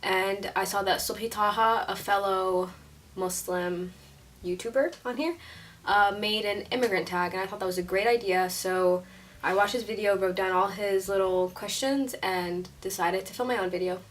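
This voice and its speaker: A woman's voice: medium pitch, steady tone, normal speed, recorded from a distance, slight background noise. A woman in her twenties from Syria, chatting casually in a vlog, with lots of fillers and small laughs. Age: 20s. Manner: chatty, says like a lot, laughs often. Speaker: YouTuber.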